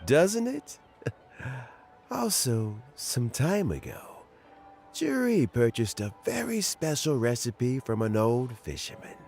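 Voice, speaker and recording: An actor playing a kindly older man close to retirement, gentle and reminiscing, chuckling often. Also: mid-range voice, wildly swooping pitch, slow pace, vocal fry, faint music behind, exaggerated voice. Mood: warm. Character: kindly old man near retirement, fond of fishing. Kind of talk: game voice acting.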